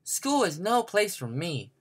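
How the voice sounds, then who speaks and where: A sweet, eager little girl from a children's storybook, acted out by a man. The voice is pitched high and swings widely up and down. The delivery is earnest and expressive, easily tipping into feeling. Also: a little slow, slightly rough, full character voice. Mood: dejected.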